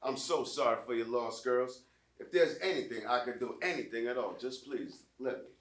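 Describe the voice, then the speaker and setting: Man playing a gentle, awkward priest, echoey. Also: medium pitch, normal speed, slight background noise, lightly acted. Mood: sympathetic. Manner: hesitant, gentle, consoling. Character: mild, awkward, well-meaning small-town male priest. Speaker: actor in a staged reading of a short play. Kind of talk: live amateur stage comedy acting. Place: small college theatre stage. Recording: echoey theatre room.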